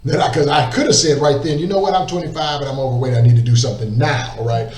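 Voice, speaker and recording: A man's voice: mid-range voice, fairly even intonation, fast, darkish tone. A middle-aged man telling his faith story as an interview guest, emphatic, repeating words and asking "right?". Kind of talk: earnest faith testimony. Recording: roomy echo, distant mic, faint noise.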